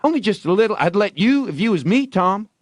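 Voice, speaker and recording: Man voicing an excitable, high-pitched rustic village boy. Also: brisk, dry recording, clean studio sound. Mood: eager pleading. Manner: rustic, folksy boyish dialect, excitable. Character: young village boy, cocky teasing then eagerly pleading. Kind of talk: audiobook character voice.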